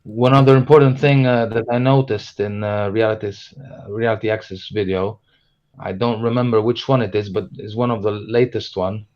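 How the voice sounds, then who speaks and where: A man speaking as a guest on a group call, soft-spoken and quick to agree. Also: lowish voice, steady tone. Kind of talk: casual group call chat. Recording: dull, muffled call audio.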